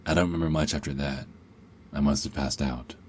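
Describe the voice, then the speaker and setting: A man's voice: extremely low pitch, steady tone, a little fast, a little hiss or hum. A man playing a cocky, sarcastic young adult werewolf held captive, in an ASMR roleplay with a taunting, sardonic drawl. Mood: wry storytelling.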